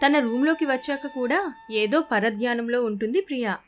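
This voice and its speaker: A woman's voice: a little high, moderate pace. A woman narrator telling a scripted moral family story. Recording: faint music bed behind the voice.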